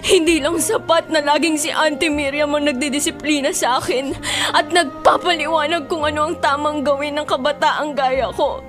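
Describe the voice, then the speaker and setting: An actress playing a remorseful young student daughter in a Filipino radio drama, pleading earnestly and emotionally. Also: female voice, high voice, steady tone, moderate pace, natural voice. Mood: tearful pleading. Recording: music under the voice.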